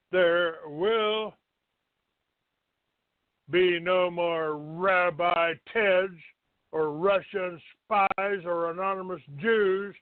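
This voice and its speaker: A male talk show host speaks slowly in a smooth, clear voice, halting as he grumbles through his monologue and reads out notices bluntly. The sound is thin and narrow like a telephone, with a roomy echo around him. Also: sits high. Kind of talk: rambling monologue, reading out a written notice.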